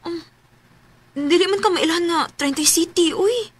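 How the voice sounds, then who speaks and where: A woman's voice: extremely high pitch, unhurried, a little hiss or hum. A woman with a Cebuano Filipino accent playing a forthright young single mother in her early twenties in a radio drama. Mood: curious, friendly. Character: forthright 21-year-old single mother eager for love.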